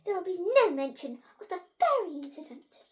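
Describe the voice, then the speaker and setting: Lady doing a bossy storybook headmistress, way up high, loud, big swoopy announcements. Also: animated, unhurried. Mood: stern. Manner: loud, emphatic, proclaiming. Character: flustered, stern female head teacher at a monster school.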